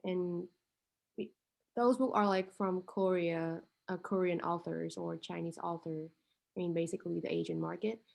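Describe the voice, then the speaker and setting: A woman's voice: on the low side, steady tone, normal speed, vocal fry, darkish tone. Woman student, hesitant. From Vietnam. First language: Vietnamese. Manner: hesitant, thinking aloud, many "um" and "like" fillers. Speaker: international student with a startup idea. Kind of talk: casual conversation.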